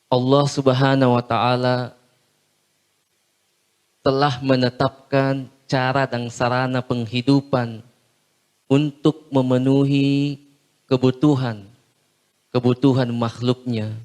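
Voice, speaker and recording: Male Islamic preacher for a short Ramadan talk: measured, solemn, flat in intonation, clean resonant tone, pausing between phrases. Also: medium pitch. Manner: measured, solemn, phrase-by-phrase delivery with long pauses.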